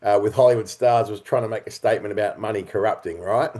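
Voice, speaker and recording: A middle-aged man speaking as an interview guest, telling stories in a reflective, halting and earnest way. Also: on the low side, fairly even intonation, mellow. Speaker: interview guest, writer, director and performer.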